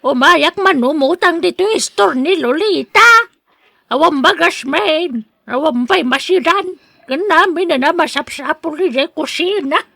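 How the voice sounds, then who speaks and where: A woman's voice: sits high, lively intonation, measured pace, vocal fry, bright, forceful tone, no echo, studio quality, heavily acted. An actress with an Ilocano Filipino accent playing a needy, grateful village mother in a radio drama, exclaiming deferentially. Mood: humble, pleading.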